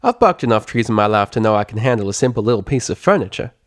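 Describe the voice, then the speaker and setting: A man's voice: on the low side, lively intonation, fast, dry recording, clean studio sound, lightly acted. A man voicing a friendly, motherly country pony mare with a folksy Southern US drawl in a story reading. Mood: helpful, assured. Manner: folksy, warm-hearted, fussing over others. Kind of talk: character voice in a fan fiction reading.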